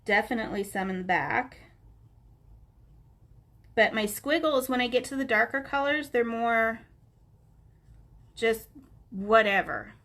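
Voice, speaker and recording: A woman's voice: a little high, measured pace, long pauses, a bit bright. A woman with a stuffy, nasal voice, chatting casually with her viewers by name as she teaches coloring on a live stream. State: stuffy nose, sounds nasal. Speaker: craft instructor on a live stream.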